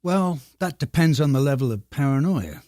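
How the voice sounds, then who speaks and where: A man speaking as a podcast co-host in a measured, deadpan and slightly formal conversational manner. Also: fairly high pitch, dry recording, clean studio sound.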